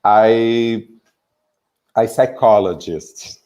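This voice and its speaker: A man with a Brazilian accent speaking English haltingly on a video call, with many hesitant fillers. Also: lowish voice, dark tone, lo-fi sound. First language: Portuguese. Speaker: guest, psychologist.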